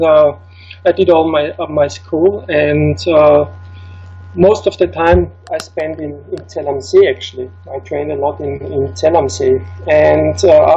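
Austrian male guest, middle-aged, raspy and hesitant, dull recording. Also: a little high, warm tone. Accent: Austrian German. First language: German. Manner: hesitant, many fillers and restarts. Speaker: ski instructor. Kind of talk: interview guest recounting his life.

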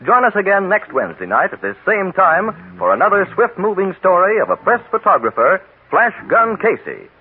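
A man's voice: high voice, big pitch swings, moderate pace, husky. A man announcing formally in a declamatory 1940s radio style on a tinny old broadcast recording.

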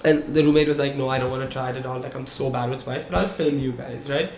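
A man's voice: medium pitch, fast talker, creaky. Podcast co-host, young male, chatty storyteller, roomy echo. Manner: animated storyteller, checks in with "okay? right?". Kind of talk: casual storytelling chat. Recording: echoey room, faint background hum.

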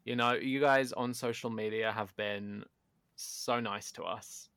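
A man co-hosting a podcast in casual chat, loud and animated, often poking fun at himself. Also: on the low side, unhurried, dry recording. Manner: animated, self-deprecating, loud. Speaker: podcast co-host. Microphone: home podcast microphone.

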